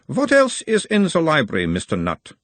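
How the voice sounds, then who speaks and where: Insistent analyst, pitched well up, swooping, clinical, formal, audiobook character. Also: male voice, wildly swooping pitch, moderate pace, a bit bright, no room sound. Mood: probing. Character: insistent, probing male analyst persona of a hypnotised creature.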